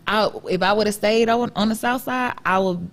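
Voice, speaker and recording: A woman's voice: medium pitch, normal speed. A young woman from Ohio co-hosting a podcast, talking candidly and casually, laughing and swearing freely. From Akron, Ohio. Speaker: podcast co-host. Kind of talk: casual chat.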